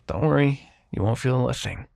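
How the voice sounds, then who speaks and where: A man playing a grumpy but reluctantly kind immortal dream-bringer in an ASMR roleplay, brusque and halting. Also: mid-range voice, vocal fry. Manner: brusque, exasperated, halting, softening into concern.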